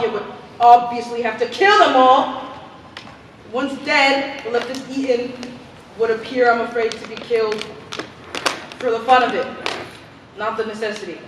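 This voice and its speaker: A woman playing a militant female plotter in a stage play, declaiming with dramatic pauses. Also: fairly high pitch, natural voice. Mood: grave, dismayed. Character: fervent, militant female plotter proposing grisly plans. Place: theater stage. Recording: distant, echoey theater hall, faint noise.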